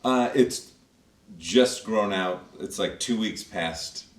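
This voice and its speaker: Real deep voiced guy, dry joking about his character, echoey room off mic. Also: takes it slowly. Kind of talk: casual chat about a character. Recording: roomy echo, distant mic.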